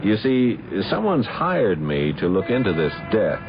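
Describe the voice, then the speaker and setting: A pushy, lively private eye. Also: male voice, lowish voice, measured pace. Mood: eager, pushy. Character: pushy, glib male private investigator. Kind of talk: 1970s radio drama acting. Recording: muffled old radio broadcast, music behind.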